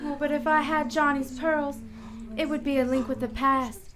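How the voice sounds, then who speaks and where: An actress playing a sentimental, distressed wife pleading with a detective in a melodramatic radio play. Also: female voice, high voice, moderate pace, crowd noise, close to an everyday voice. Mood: wistful pleading. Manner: pleading, dramatic repetition. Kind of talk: melodramatic radio drama acting.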